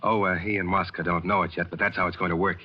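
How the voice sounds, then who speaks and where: A man's voice: sits low, level tone, fast. An actor playing a cool, sardonic American tough guy in a 1940s radio thriller, talking in terse slang. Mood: sardonic, brazen. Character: hard-boiled American man bluffing villains, cool and sardonic. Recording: muffled old-time radio recording.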